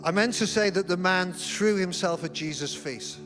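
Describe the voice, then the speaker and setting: Pastor, man, middle-aged, higher register, self-mocking preacher, music bed behind. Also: normal speed. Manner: humorous self-deprecating storytelling. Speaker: senior pastor. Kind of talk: sermon. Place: church auditorium.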